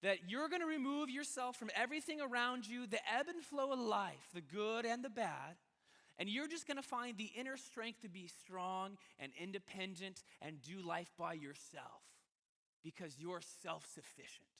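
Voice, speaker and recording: A man's voice: high-pitched, animated, moderate pace, bright, forceful tone. A man preaching a sermon as a pastor, talking in a conversational but emphatic way with rhetorical questions. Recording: reverberant hall.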